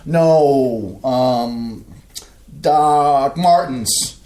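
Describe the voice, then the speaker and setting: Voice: medium pitch, very slow, mellow. An actor in a staged play reading playing a flustered, grumpy older father who sputters and halts indignantly. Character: flustered older father, grumpy small-town shoe seller.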